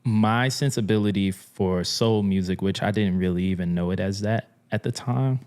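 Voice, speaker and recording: Man, very low voice, young adult, from New Orleans, laid-back, casual podcast guest. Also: normal speed, a little mellow, clear, resonant tone. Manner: laid-back, slangy, laughs often. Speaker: guest, drummer and music professor. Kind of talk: casual storytelling in a podcast interview.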